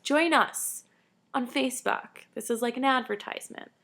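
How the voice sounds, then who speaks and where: A woman's voice: sits high, normal speed, bright, forceful tone. A woman in her late twenties, a tired vlogger chatting casually and self-deprecatingly to camera. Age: 20s.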